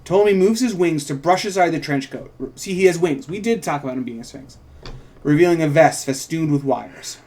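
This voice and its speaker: A man with a fairly high voice hosts a casual script read. He narrates scene directions, then drifts into chatty explanations, often interrupting himself along the way. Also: animated, a little fast, darkish tone. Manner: rambling, self-interrupting explanations. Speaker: podcast host and co-writer reading stage directions of his own script. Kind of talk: informal script table read with chatty asides.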